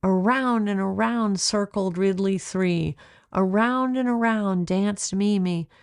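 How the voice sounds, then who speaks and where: A woman narrating a children's storybook in a measured, expressive way, like an author reading aloud. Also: mid-range voice, clear, resonant tone, dry recording, studio quality.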